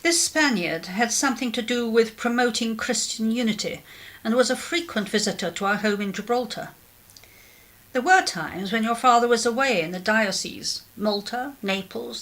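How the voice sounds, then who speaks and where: Actress as genteel clergyman's wife, middle-aged, bright forceful voice, slightly high, wistful, formal, radio drama. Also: animated, moderate pace. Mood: confessional, subdued. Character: genteel 1930s clergyman's wife confessing past, wistful and regretful.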